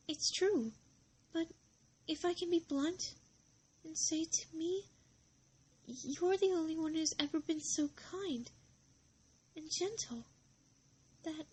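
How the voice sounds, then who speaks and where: A woman voicing a shy, fragile young girl in a fan comic drama, sweetly devoted, halting and emotional. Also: very high-pitched, darkish tone, pitched above the performer's own voice, low-quality recording.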